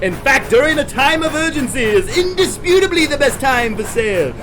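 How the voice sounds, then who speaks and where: A man's voice: extremely high pitch, lively intonation, normal speed. A man playing a slick, opportunistic Old West travelling salesman in an audio drama, with florid, wheedling patter. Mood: eager pitch.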